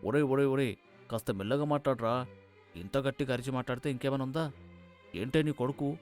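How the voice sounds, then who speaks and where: A man's voice: mid-range voice, fast, a little mellow, soft background music, lightly acted. Man voicing a scheming, scolding father. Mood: anxious scolding. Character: scheming, nervous middle-aged father of a groom.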